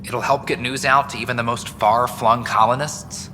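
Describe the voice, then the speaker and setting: An earnest, overworked young male reporter, played by a man in a sci-fi video game. His voice is bright and mid-pitched, chatty and self-deprecating. It rings in a large hall with faint noise behind it. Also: fairly even intonation, normal speed, natural voice. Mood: wry, hopeful. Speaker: news reporter. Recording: reverberant hall with background noise.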